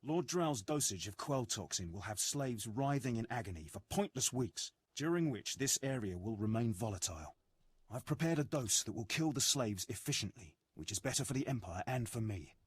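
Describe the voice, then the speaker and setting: Imperial sergeant, game dialogue, husky, very dry close sound, formal, self-important, resentful. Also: male voice, mid-range voice, expressive, normal speed, no room sound. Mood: resentful, impatient. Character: ambitious, resentful, impatient male military sergeant of an evil empire. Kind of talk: video game voice acting.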